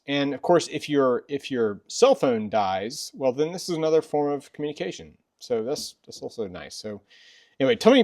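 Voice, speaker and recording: A middle-aged man hosting a casual product review, informal and conversational, sometimes repeating himself. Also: medium pitch. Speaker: video host.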